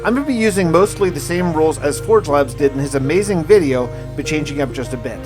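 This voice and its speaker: A man with a slightly high voice hosts a gaming role-play video. He narrates a survival tale in the first person, like a scripted log, with dramatic storytelling delivery. Music plays clearly behind his voice. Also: lively intonation, quick pace, rough recording.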